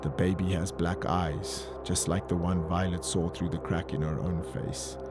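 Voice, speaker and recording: Male narrator over loud music. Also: low-pitched, flat delivery, normal speed. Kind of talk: dramatic scripted narration. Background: loud dramatic music.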